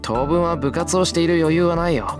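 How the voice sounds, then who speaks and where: A man's voice: on the high side, very fast, natural voice. A man voicing a kind, stubborn teenage schoolboy in a visual novel, casual and frank in manner. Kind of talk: Japanese visual novel voice acting. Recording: music behind the voice.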